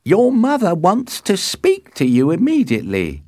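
A man's voice: high-pitched, huge pitch swings, unhurried, dark tone, dry recording, studio quality, pitched above the performer's own voice, exaggerated voice. A man voicing a fussy female household nurse in a storybook, prim and emphatic. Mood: emphatic, informing.

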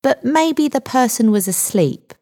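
A woman voicing a male police sergeant in a story, friendly but condescending and dismissive, with measured delivery. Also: on the high side, very animated, mellow, voice pushed up, exaggerated voice. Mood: friendly, doubtful. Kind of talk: audiobook character dialogue.

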